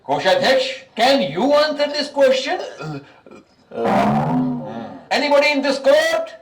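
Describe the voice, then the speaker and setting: A man playing an imperious puppet king in a children's show, questioning theatrically with deliberate pauses. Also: extremely high pitch, expressive, very noisy. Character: grand puppet king, commanding, amused, quick to threaten punishment. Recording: echoey, distant, music behind.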